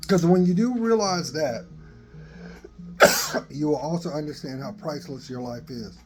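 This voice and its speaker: Slow, earnest middle-aged man. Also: a little high, music in the background. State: dry voice, coughing. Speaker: vlogger. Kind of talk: motivational selfie vlog. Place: open garage, daytime. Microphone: phone at arm's length.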